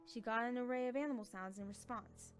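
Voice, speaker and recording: A woman's voice: fairly high pitch, brisk, soft background music. A woman reading scripted third-person fiction aloud as a storyteller. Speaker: narrator.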